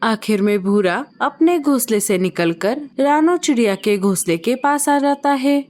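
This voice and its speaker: Calm, unhurried woman narrator. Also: fairly high pitch. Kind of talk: scripted storybook narration.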